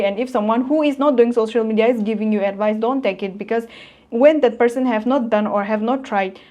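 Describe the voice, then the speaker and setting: A woman's voice: on the high side, darkish tone. A woman in her twenties talking straight to camera in a casual motivational vlog, earnest, blunt and emphatic. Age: 20s. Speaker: vlogger.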